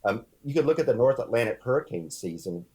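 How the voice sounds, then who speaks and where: A man from California, a research scientist guest, speaking in a measured way with occasional fillers. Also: mid-range voice, animated, dark tone. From California's San Joaquin Valley. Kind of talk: podcast interview answer.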